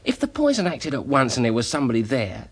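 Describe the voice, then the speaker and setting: A young detective's sidekick in a radio play, informal and a bit grumbly. He talks quickly in a medium-pitched, animated voice. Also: breathy edge, exaggerated voice. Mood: puzzled. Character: breezy, plain-spoken young male detective's assistant. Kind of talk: British radio drama acting.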